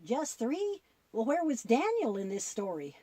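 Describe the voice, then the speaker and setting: A woman's voice: on the high side, sing-song, unhurried, warm tone, breathy edge, dry recording, heavily acted. A woman voicing a goofy, curious little boy puppet in a children's puppet show, wide-eyed and full of questions and exclamations.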